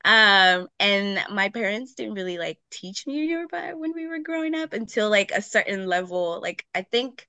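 A woman's voice: mid-range voice, lively intonation, moderate pace, hardly any pauses, bright, forceful tone, smooth, dry recording. A woman in her twenties, originally from Nigeria, chatting as a podcast guest in a loose, talkative way full of "like" fillers and frequent laughter. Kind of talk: casual chat.

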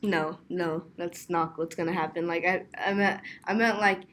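A man's voice: high voice, fairly even intonation, normal speed, a bit bright, slight background noise. A young man talking as a podcast guest in a laid-back, rambling way with fillers. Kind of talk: casual podcast chat.

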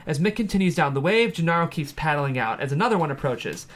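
Animated, higher-voiced man reading stage directions. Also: quick pace. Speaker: screenplay narrator at a table read. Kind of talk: scripted narration, reading stage directions aloud.

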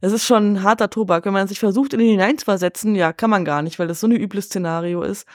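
A woman talks quickly in a casual film discussion. Her delivery is colloquial and emphatic, with plenty of slang. The sound is dry, with no room around it. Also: mid-range voice, darkish tone, no echo. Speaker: podcast guest.

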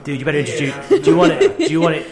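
A man's voice: on the high side, wildly swooping pitch, racing, a crowd in the background. A man hosting a radio interview in a casual, effusive way, rambling and repeating phrases as he goes. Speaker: radio host and producer.

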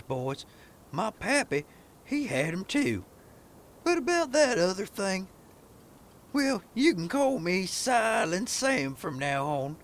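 A man's voice: high voice, fairly bright tone, slight background noise, full character voice. A man playing a good-natured, folksy cowhand with a Texan drawl in an old-time radio western. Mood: wry, placating. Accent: Southern US, Texan. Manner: drawling, folksy, talks to his horse.

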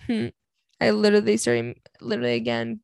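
A woman in her twenties from Canada talks through a casual vlog in a loose, rambling way, with lots of "like" and "um". Her voice sits at an ordinary middle pitch with a gravelly fry at the ends of phrases. The sound is dry and close, with no room around it. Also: big pitch swings, fairly quick, a little mellow, no echo. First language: English. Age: 20s. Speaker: vlogger answering viewer questions. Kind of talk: casual chat.

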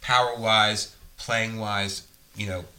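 A man speaks in a very bright, forceful tone. He chats casually and thoughtfully about working with bands in the studio. He often stops to restart his sentences. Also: lowish voice, fairly even intonation, takes it slowly, slight background noise. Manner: thoughtful, hesitant, many restarts and fillers. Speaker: music producer. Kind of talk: casual conversation.

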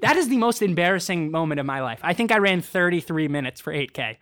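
Young man, slightly raised pitch, chatty and self-deprecating, close dry mic. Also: fast, clear, resonant tone, no room sound. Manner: animated, self-mocking, lots of "like". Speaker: co-host, runner. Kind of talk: casual chat.

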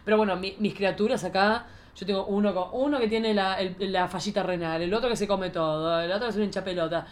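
A woman's voice: mid-range voice, fairly even intonation, quick pace, slight background noise. A woman with an Argentine accent hosting a casual chat with playful, campy banter. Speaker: podcast host.

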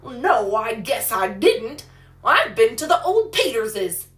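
A woman voicing a hungry, sturdy young boy in a storybook, indignant and plaintive, with drawn-out, halting delivery. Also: on the high side, big pitch swings, fairly bright tone, soft background music, voice pushed up. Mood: indignant, proud.

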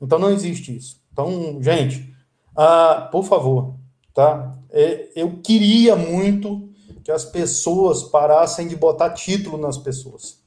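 A man in his fifties from Brazil, a guest telling stories in a relaxed, chatty way. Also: a little high, unhurried, echoey room. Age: 50s. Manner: storytelling, lively and chatty. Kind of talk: casual interview chat.

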